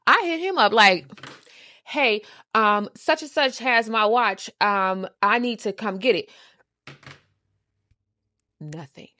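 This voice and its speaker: A woman with an American accent telling a story casually, with a sassy, animated, emphatic and slangy delivery. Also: fairly high pitch, slow, long pauses, no room sound. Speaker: storytime show host. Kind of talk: casual storytelling, acting out quoted conversations.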